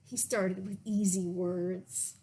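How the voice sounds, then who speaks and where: A woman reading picture books aloud to children as a librarian, in a deliberate way with pauses and little asides to the listeners. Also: fairly low pitch, flat delivery, warm tone, slightly rough. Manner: slow, deliberate phrasing with pauses. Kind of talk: read-aloud storytime with asides to young listeners.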